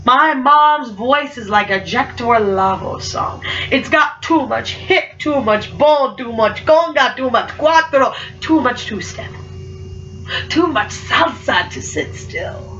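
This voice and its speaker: A woman's voice: sits high, lively intonation, slow pace, pushed and bright, soft background music. A young woman performing spoken word poetry, speaking with a stutter and an emphatic, rhythmic delivery. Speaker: poet. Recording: muffled, from far off.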